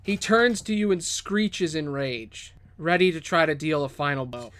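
Higher-voiced man narrating as game master. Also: normal speed, a bit bright. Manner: dramatic, descriptive storytelling. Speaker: game master and podcast host.

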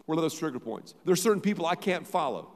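A middle-aged pastor preaching a sermon, emphatic, repeating phrases, swinging between loud and quiet. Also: male voice, fairly high pitch, very animated, fast talker. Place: large church auditorium. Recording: long hall reverb.

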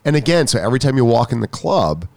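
A man's voice: mid-range voice, big pitch swings, fast, gravelly, a little mellow, no room sound. Earnest man talking tennis coaching. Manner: earnest, interrupts himself and restarts. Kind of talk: casual chat.

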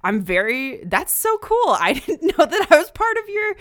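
A woman's voice: high-pitched, sing-song, moderate pace, fairly bright tone, no room sound, clean studio sound. A woman chatting casually and talkatively as a guest co-host on a podcast, sprinkling her speech with "like". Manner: chatty, laughs while talking, often says "like".

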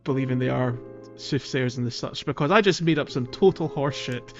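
A man's voice: medium pitch, brisk, dull audio, soft background music. A man chatting casually as a podcast co-host, with a jokey, teasing manner. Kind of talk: casual chat.

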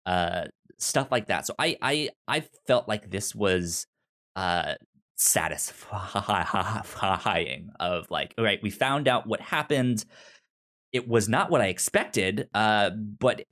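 A man with a stutter, talking casually and haltingly as he co-hosts a podcast discussion. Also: fairly low pitch, expressive, fairly bright tone. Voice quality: stutter, repeating sounds and syllables. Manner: halting, searching for words. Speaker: podcast co-host reviewing an audio drama.

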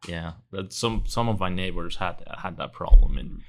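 A man from Venezuela, a startup co-founder and guest, talking casually in halting phrases with frequent restarts and laughs. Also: sits low, creaky, fairly bright tone. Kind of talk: casual conversation.

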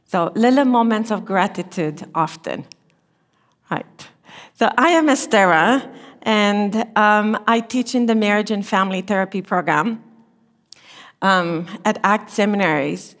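A middle-aged woman with a Romanian accent speaks in a gentle, unhurried way, her phrasing slightly non-native. She talks slowly and at a medium pitch. Her voice carries the long reverb of a chapel hall. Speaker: chapel speaker, therapy lecturer. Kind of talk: chapel talk.

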